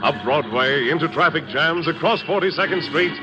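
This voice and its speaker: A man playing a genial gentleman theatergoer, hosting a 1950s radio play with polished scripted narration. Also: on the high side, lively intonation, moderate pace, lightly acted. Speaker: host. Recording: muffled vintage radio recording, loud music.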